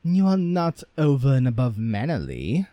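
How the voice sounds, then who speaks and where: A man's voice: mid-range voice, wildly swooping pitch, normal speed, dark tone, dry recording. A man voicing a composed, stern female fairy who speaks in the manner of a princess in a fairy tale.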